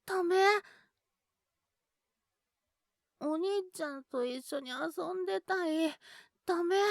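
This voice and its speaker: A woman plays a small boy down with a cold, his voice very high and childlike. He talks slowly, cheeky yet needy, pleading between coughs. The sound is dry and intimate, made for a situation voice drama. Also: vocal fry, no room sound, full character voice. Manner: pleading, sulky childish talk with frequent coughing. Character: cheeky little boy with a cold, clinging to his older brother.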